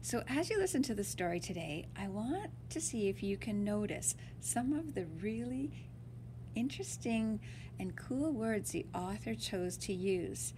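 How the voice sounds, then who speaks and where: Slow, animated woman reading a storybook. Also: medium pitch, noisy background. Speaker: teacher reading to children. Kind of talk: expressive storybook read-aloud.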